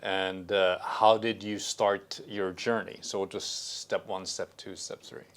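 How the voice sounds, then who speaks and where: A man hosts a podcast with a fairly low voice. He asks questions in a casual way. He encourages his co-host and sums up her answers back to her. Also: level tone, slow pace, fairly bright tone.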